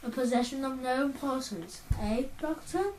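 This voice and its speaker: A woman's voice: sits high, normal speed, darkish tone, a little hiss or hum, lightly acted. A woman reading a cold, clever boy genius. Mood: cool, superior. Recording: roomy, distant mic.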